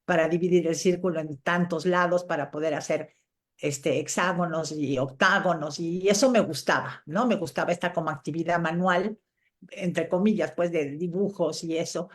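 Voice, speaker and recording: A woman's voice: on the low side, fairly even intonation, moderate pace. A middle-aged woman from Chile and Mexico answering casually in an interview, telling anecdotes in a conversational way. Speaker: interviewed scientist guest.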